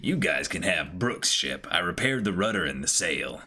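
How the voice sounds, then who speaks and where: Man doing a deep, self-assured shipwright. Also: very animated, normal speed, bright, forceful tone, exaggerated voice. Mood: cool, proud. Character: proud, easygoing super shipwright man.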